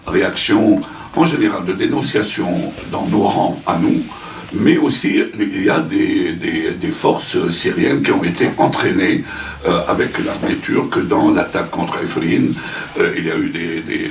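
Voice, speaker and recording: Host, male, very low gravelly voice, hesitant, recorded from afar in an echoey room. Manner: halting, many hesitations. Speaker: event host. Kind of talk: introducing a speaker at a public debate. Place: meeting hall. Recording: echoey room from far off, noisy.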